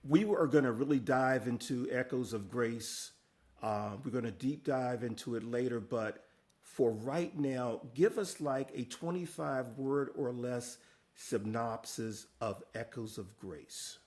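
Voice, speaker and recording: A man's voice: medium pitch, a little slow, darkish tone. Upbeat male interview host. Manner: upbeat and encouraging, laughs often. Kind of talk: friendly interview hosting.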